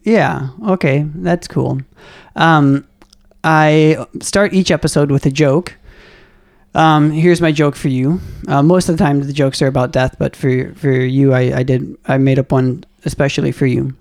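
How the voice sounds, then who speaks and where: A man who hosts a podcast and interviews a friend in a casual way, laughing often and halting with many fillers. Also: fairly high pitch, fairly even intonation, gravelly, a little mellow, no echo, clean studio sound. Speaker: podcast host.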